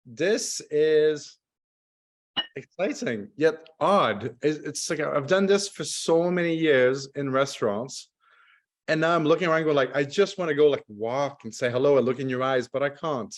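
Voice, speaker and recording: A man's voice: a little high, moderate pace. Man, French Canadian accent, middle-aged, wine ambassador, video call, chatty, self-correcting. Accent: French Canadian with Australian and South African traces. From Montreal, Canada. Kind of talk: wine tasting presentation.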